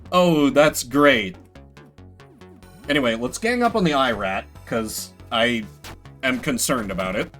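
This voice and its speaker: A man streams a video game with casual, rambling commentary. His voice sits at a medium pitch and swings widely as he tosses in playful, mock-grandiose asides. Faint music plays behind him. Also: lively intonation, unhurried, pauses often, a bit bright. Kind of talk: casual gameplay commentary, voicing game text.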